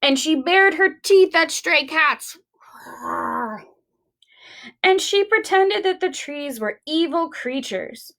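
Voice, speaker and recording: A woman reading and talking to young children as a library storytime host, expressive and deliberate. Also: very high-pitched.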